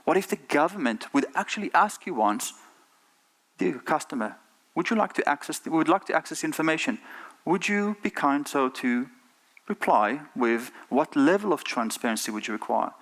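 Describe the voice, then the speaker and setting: A man's voice: fairly high pitch, lively intonation, normal speed, fairly bright tone. A middle-aged man from Israel with an Israeli accent, giving an earnest keynote talk on a conference stage and putting rhetorical questions to the audience. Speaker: conference speaker.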